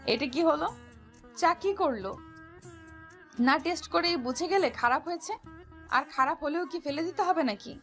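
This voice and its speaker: A woman acts out a young wife in love, high in pitch, playful and tender. She teases fondly in a romantic audio drama, with faint music behind her. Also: moderate pace, long pauses, a bit bright. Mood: surprised protest. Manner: playful, tender, mock-formal teasing.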